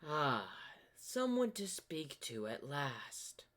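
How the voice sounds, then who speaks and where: A man's voice: fairly high pitch, big pitch swings, very slow, fairly bright tone, pitched above the performer's own voice, exaggerated voice. A sinister, corrupted twin of a zebra hero, acted by a man. The voice sits above the storyteller's own and takes its time, drawing out phrases. It is smug, theatrical and menacing, like a villain in an amateur cartoon story. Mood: smug, teasing.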